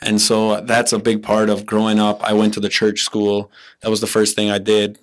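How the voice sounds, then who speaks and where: Easygoing young man with a low, flat voice. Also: normal speed. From Milwaukee, USA. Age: 20s. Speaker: podcast guest. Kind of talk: casual interview chat.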